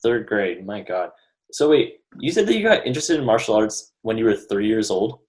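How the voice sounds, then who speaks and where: A teenage guy hosting a casual podcast, with a fairly low voice. He is inquisitive and chatty as he puts questions to his guests. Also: sing-song, normal speed, darkish tone. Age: teens. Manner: inquisitive, reacts with surprise. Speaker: podcast host. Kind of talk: casual interview chat.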